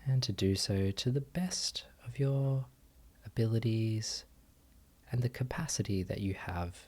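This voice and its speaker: A man guiding a meditation as a teacher, giving gentle instructions with long pauses. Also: on the low side. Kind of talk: guided meditation.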